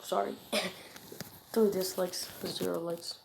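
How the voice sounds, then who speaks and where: A woman's voice: on the low side, steady tone, takes it slowly, creaky. Offhand female voice on a noisy recording. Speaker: video creator reacting to videos. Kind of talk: casual unscripted reaction commentary. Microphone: phone or device mic during screen recording. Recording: noisy home screen-recording audio with clicks.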